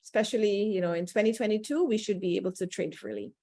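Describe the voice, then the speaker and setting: Measured woman, dry close sound. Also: medium pitch, mellow, dry recording. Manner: measured and diplomatic, with frequent hesitations. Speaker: cocoa estate representative and third-generation cocoa farmer. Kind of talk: webinar Q&A answer. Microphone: video call.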